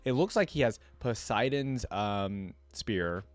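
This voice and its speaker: A man's voice: on the low side, lively intonation, slow, no room sound. Casual man reacting, close dry sound. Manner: chatty, thinking aloud. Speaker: host of a gaming reaction channel.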